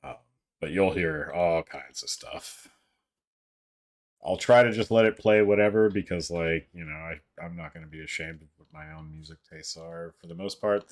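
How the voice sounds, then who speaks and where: A young man with a very low, deep voice chats loosely with his stream audience. His delivery is fairly monotone, full of "like" and "uh" and casual cursing. The voice sounds a bit worn and strained. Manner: rambling, frequent swearing and filler words. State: voice strained from an old throat injury. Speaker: livestream host. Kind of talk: casual chat with viewers.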